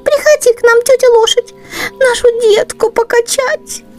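A woman's voice: extremely high pitch, fairly even intonation, moderate pace, darkish tone, soft background music, pitched above the performer's own voice. A woman voicing an anxious mother mouse in a storybook, pleading in a sweet sing-song way.

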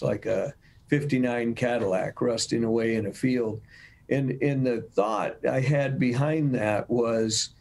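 An older American man speaks at a slow, unhurried pace with a mid-range pitch. He pauses to think as he goes, reflecting as an interview guest on a video call. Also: darkish tone. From United States, Michigan. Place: room at home, over video call. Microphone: video call mic.

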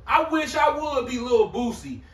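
A brash man with a high, bright voice, laughing and talking trash in a heated group talk. He sounds roomy and echoing, picked up by a mic set far away from him. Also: sing-song, measured pace. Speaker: church elder.

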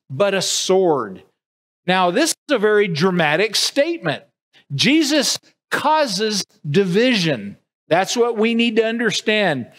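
A middle-aged pastor preaching in a church sanctuary, his voice sitting high for a man. He speaks slowly and earnestly, restarting phrases as he builds his points. The sound is picked up by a podium mic. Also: a bit bright. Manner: earnest preaching with wide arm gestures, frequent restarts. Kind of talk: sermon. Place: church sanctuary with empty pews. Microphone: podium mic at a distance, far camera.

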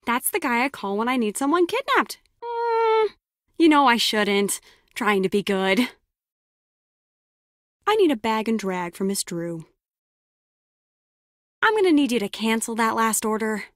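A woman's voice: a little high, sing-song, slow, long pauses, fairly bright tone, dry recording, full character voice. An actress playing a hard-nosed, sardonic female spy in a video game, with a dry, wry manner. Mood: guarded, wry.

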